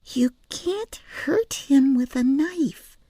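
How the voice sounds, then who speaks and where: A woman voicing an earnest, stubborn eight-year-old girl with a small, breathy voice, in an audiobook story. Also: sits high, expressive, slow pace, mellow, dry recording, pitched above the performer's own voice. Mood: urgent insistence. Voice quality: small, breathy, often whispering. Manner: earnest, insistent, plaintive.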